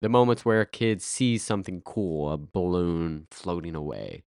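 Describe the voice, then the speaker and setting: A man in his thirties hosting a podcast, speaking with a raspy, nasal voice from a head cold, earnest with bursts of playful energy. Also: low-pitched, expressive, normal speed, a little mellow, dry recording, studio quality. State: congested with a cold, stuffy and nasal. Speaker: podcast host. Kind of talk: scripted podcast monologue.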